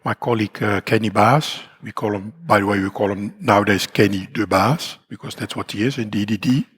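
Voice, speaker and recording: A man from the Netherlands with a Dutch accent and a croaky voice, speaking at a conference in a rambling, self-deprecating way with many fillers and restarts. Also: fairly low pitch, fairly even intonation, brisk, fairly bright tone. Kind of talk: conference talk. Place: conference hall.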